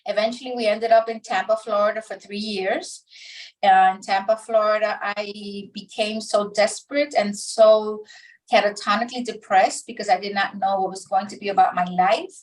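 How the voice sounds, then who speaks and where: Distant middle-aged woman, halting storyteller. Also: medium pitch, steady tone. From Dominican Republic. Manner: halting, many restarts and pauses. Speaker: interview guest. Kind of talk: reflective personal storytelling.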